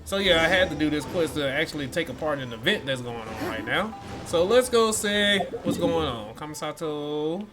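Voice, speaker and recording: A man's voice: on the high side, expressive, moderate pace, few pauses. A man giving casual, offhand commentary while playing through a video game. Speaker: gamer commentator. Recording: game music underneath.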